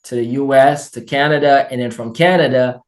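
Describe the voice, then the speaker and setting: A man's voice: medium pitch, fairly even intonation, brisk, a little mellow. Upbeat man with a Haitian accent. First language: Haitian Creole and French. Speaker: guest. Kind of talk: casual interview storytelling.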